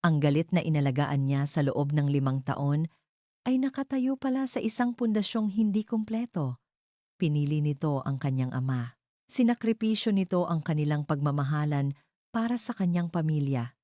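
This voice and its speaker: Female narrator for melodramatic love story, low-pitched, mellow, dry close recording. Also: no echo.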